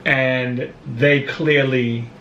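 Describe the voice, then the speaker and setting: A man with a Brooklyn accent chatting casually on a vlog, talking haltingly with frequent restarts. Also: medium pitch, steady tone, reverberant, recorded from a distance, dull audio. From Brooklyn, New York. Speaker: vlogger. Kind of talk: casual chat. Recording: voice a bit off-mic, faint room noise.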